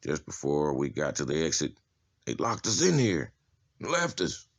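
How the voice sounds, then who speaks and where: Tough male convict for a comic drama, played by a male actor: extremely low voice, slangy, foul-mouthed, laid-back phrasing. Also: huge pitch swings, moderate pace, creaky, full character voice. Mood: wry, matter-of-fact. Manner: casual, slangy, profane. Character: tough, streetwise adult male prison inmate giving a tour. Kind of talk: comic-book dramatization, character acting.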